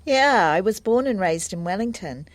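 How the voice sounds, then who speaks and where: Helpful female friend giving measured advice in a scripted language-test dialogue, played by a woman; mid pitch, very dry recording. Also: animated, no room sound, natural voice. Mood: helpful, calm. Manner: measured, clear, explanatory.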